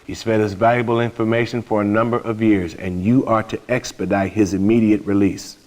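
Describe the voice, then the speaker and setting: A man's voice: on the low side, flat delivery, fairly quick, a little mellow, lightly acted. A middle-aged man playing a commanding, businesslike military officer delivering a formal mission briefing in a video game. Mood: stern.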